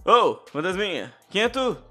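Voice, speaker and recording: A man acting a loud, mocking teenage school bully who talks in slang in an audio novel. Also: high voice, big pitch swings, moderate pace, heavily acted. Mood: mocking, provoking. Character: Gustavo, loud, taunting teenage boy, animated school bully. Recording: music under the voice.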